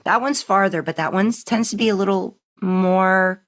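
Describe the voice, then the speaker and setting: A woman's voice: medium pitch, normal speed. A woman hosting a podcast in a casual, conversational interview style, telling stories animatedly. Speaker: podcast host.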